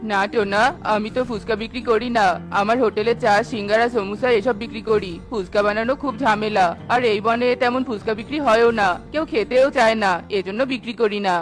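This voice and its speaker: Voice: mid-range voice, steady tone, fairly quick, lightly acted. A performer voicing a talkative, helpful male myna shopkeeper in a cartoon, with faint music behind. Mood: helpful, explanatory.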